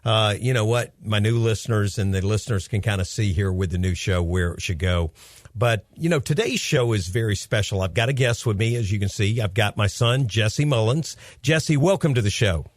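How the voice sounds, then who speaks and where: A man's voice: on the low side, moderate pace, dry recording, studio quality. Chatty man in his fifties hosting radio. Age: 50s. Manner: upbeat, chatty, rambling storytelling. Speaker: radio show host. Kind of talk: casual radio chat with his son.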